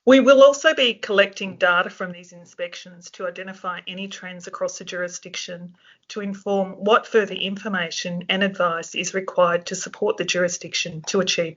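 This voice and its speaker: A presenter, croaky from a flu, reading a scripted webinar presentation steadily. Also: medium pitch, bright, forceful tone, lo-fi sound. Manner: reads steadily from a prepared script. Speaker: webinar presenter for a safety regulator.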